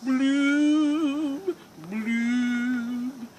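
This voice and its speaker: A woman's voice: high-pitched, steady tone, noisy background, pitched above the performer's own voice. Woman doing a glum, droning storybook fish. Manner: slow, sing-song chanting refrain. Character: gloomy male fish, glum and resigned.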